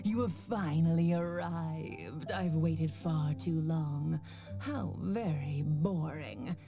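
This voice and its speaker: A woman's voice: low voice, takes it slowly, lo-fi sound, over music. A woman voicing a pompous, theatrical dodo overlord, secretly a playful mother, in a video game. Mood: grandiose, taunting. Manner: grand, theatrical. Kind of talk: scripted video game villain monologue.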